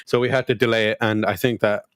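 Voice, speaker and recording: A man's voice: lowish voice, steady tone, fast talker, no echo. A man co-hosting a casual gaming show while sick with a sore throat and a stuffy nose, joking in a dry, teasing way. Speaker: co-host. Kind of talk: casual podcast chat.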